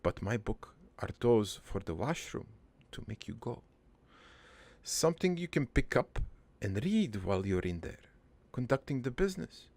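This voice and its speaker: A man voicing a middle-aged, foreign-born cartoon father who rambles through his life story in a deadpan, halting, improvised monologue with a gravelly voice. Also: mid-range voice. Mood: earnest, crude. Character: rambling, crude, wistful foreign-born immigrant father named Joey.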